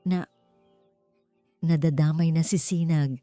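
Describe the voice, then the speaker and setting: A woman with a Filipino accent playing an earnest, soft-spoken, protective father in an audiobook story. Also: sits low, steady tone, slow pace, soft background music. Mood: heavy-hearted. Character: earnest, protective adult father, gentle and troubled.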